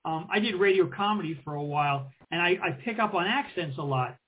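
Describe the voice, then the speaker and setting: A man's voice: high voice, a little fast, breathy edge. A middle-aged man co-hosting an interview, asking friendly questions with frequent ums and restarts. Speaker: co-host. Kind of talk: casual interview conversation. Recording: tinny phone-line audio.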